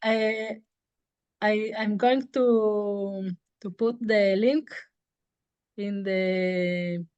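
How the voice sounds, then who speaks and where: A woman's voice: medium pitch, fairly even intonation, drawn-out words, a little mellow, clear, resonant tone, no echo. A woman hosting an interview, asking short hesitant questions. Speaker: interviewer, host. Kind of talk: casual interview chat. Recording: dull, dry, from farther off.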